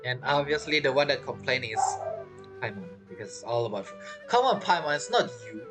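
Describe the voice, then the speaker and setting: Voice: mid-range voice, animated, a little fast. A streamer giving casual, joking commentary over a game playthrough, full of teasing asides. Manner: teasing, joking asides, non-native phrasing. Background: video game music.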